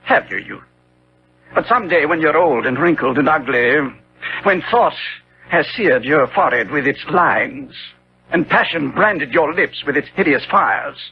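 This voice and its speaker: A decadent, worldly lord with a high, very animated voice. He is suave and cynical, delivering his epigrams theatrically. It is a slightly noisy vintage radio drama recording. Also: unhurried, lo-fi sound. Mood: ominous persuasion. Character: dissolute, cynical Victorian lord and worldly tempter. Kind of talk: 1970s radio drama acting.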